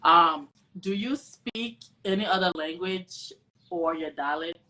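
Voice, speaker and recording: A woman's voice: lowish voice, slow pace, pushed and bright, slight background noise, rough recording. A woman interviewing a guest, reacting often with short affirmations. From Liberia. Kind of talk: casual interview chat.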